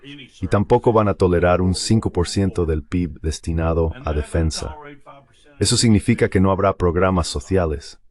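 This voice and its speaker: A man's voice: sits low, normal speed. A man giving blunt political commentary as an interview guest, leaning on emphatic repetition.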